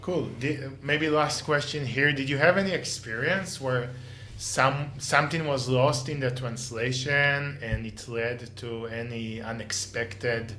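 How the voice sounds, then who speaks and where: Male podcast host, easygoing interviewer, mid pitch, roomy echo. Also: measured pace, slight background noise. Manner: relaxed, laughs along, asks follow-ups. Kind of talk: casual interview conversation. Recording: echoey room, faint background ambience.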